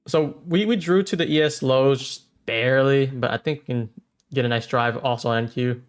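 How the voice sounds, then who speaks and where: A man's voice: medium pitch, fairly even intonation, normal speed, smooth. A man in his thirties chatting casually on a livestream while trading, stammering and repeating words, laughing often. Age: 30s. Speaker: streamer trading futures live.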